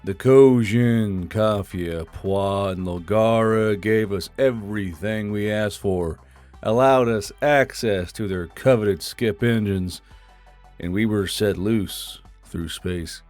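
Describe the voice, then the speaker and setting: A man performs a battle-worn drill sergeant in a sci-fi audio story, solemn and heavy with regret. He speaks very slowly in a fairly low voice, as if delivering a grave speech. Faint music plays underneath. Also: a little mellow, no echo. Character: weary, solemn veteran male drill sergeant recounting an alien war. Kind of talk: dramatic audiobook character reading.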